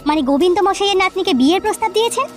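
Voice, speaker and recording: A woman plays a headman's older wife in a cartoon folk tale. Her voice is high and reproachful, full of indignant protest, with faint music behind. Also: expressive, racing. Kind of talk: animated folk-tale voice acting.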